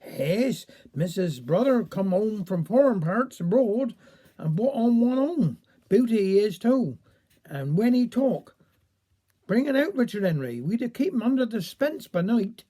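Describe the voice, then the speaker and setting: A woman's voice: medium pitch, very animated, unhurried, warm tone. A woman storyteller voicing a gossipy, sly village mother in homely Cornish dialect. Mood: falsely friendly.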